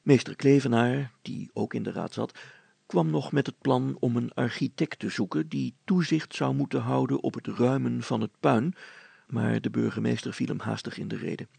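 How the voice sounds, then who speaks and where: A man's voice: medium pitch, normal speed, gravelly, mellow, husky, dry recording. A man narrating a scripted children's story as a storyteller.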